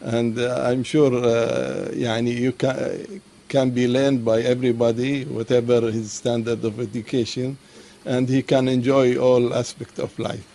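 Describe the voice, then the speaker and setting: A man moderating, thanking the lecturer in halting, slightly non-native phrasing. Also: medium pitch, little pitch movement, creaky, dark tone, slight background noise. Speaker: event moderator. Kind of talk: thanking the speaker.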